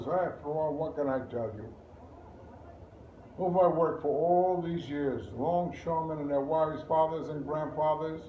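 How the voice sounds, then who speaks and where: A man playing a wry, reflective Italian-born immigrant lawyer in a theatrical, musing stage monologue with deliberate pauses. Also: a little high. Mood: wry, resigned. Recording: muffled, echoey room with faint noise.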